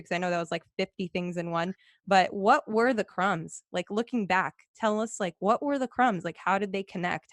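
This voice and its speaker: A woman's voice: mid-range voice, fairly bright tone, no echo. Chatty, enthusiastic woman podcast host, dry sound. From United States. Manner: enthusiastic, chatty, swears casually, laughs. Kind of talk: casual podcast interview.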